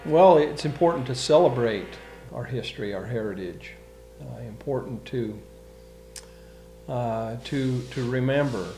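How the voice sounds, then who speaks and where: A man, a craftsman, talking haltingly and informally in an interview. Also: medium pitch, gravelly, faint music behind. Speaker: furniture craftsman. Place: woodworking shop in an old factory, indoors. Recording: echoey room with steady hum.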